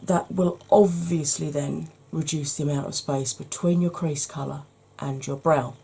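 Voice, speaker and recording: A woman with a low, gravelly voice talks through a makeup tutorial, hoarse from hay fever. Her tone is mellow and husky, and she chats casually to the viewer, with plenty of ums along the way. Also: fairly even intonation, unhurried. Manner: chatty, addresses viewer directly, frequent ums.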